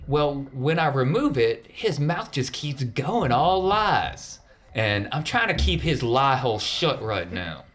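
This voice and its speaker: A man voicing a gruff, fatalistic crime boss in a tabletop game, talking in blunt, combative, folksy idiom. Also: medium pitch, sing-song, takes it slowly, a bit bright, pitched above the performer's own voice. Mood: simmering anger.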